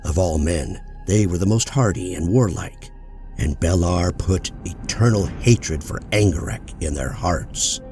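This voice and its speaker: A man narrating a fantasy audiobook in a measured, solemn storytelling manner. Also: sits low. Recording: loud music bed under voice.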